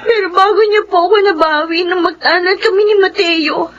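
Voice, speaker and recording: A woman's voice: very high voice, moderate pace, fairly bright tone, lo-fi sound. A woman playing a frightened young daughter, crying and pleading, in a radio drama. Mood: tearful, pleading. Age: young adult.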